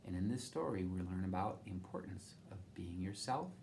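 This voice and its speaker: A man with a lowish voice reads aloud for children, swinging his pitch widely. His storytelling is expressive and emphatic, with some noise in the background. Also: normal speed, creaky. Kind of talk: animated read-aloud narration for children.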